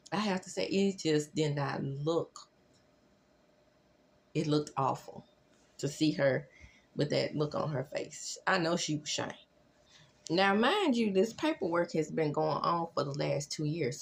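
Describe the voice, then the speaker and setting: A woman giving casual, chatty recap commentary, gossipy, slangy and animated, acting out what people said as she tells stories. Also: medium pitch. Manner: animated, sassy, gossipy, slangy, acts out quotes. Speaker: host of a reality TV recap review.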